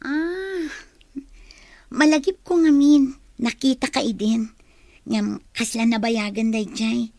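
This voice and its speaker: Woman acting coy, high-voiced young student. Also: steady tone, slow, long pauses, a little mellow, no room sound. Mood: coy, curious. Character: smitten, trusting young female student Shalani. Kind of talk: radio drama acting.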